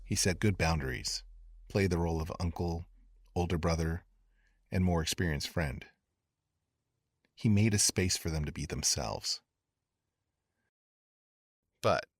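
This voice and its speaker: A man playing a brooding investigator who narrates a dark horror podcast in a measured, deliberate, confiding way. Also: low-pitched, no echo, studio quality. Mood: grave, brooding. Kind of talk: scripted first-person horror podcast narration.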